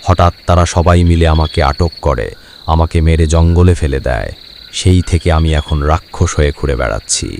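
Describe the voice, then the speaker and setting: A man's voice: a bass voice, fairly quick, vocal fry, a little mellow, slight background noise. A man voicing a bitter, murderous demon ghost in a horror cartoon, grim in manner.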